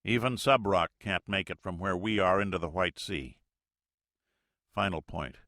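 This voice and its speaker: Man doing a gruff submarine captain, low and blunt, explaining tactics to his officers. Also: pushed and bright, no echo, studio quality, full character voice. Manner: blunt, profane, measured.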